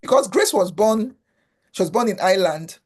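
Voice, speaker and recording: An earnest woman who grew up in Africa speaks as an interview guest. Her voice sits at an average pitch, and she often repeats words as she searches for the next phrase. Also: animated, moderate pace, a little mellow. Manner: earnest, repeats words while finding them. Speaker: guest, foundation founder. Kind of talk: conversational interview.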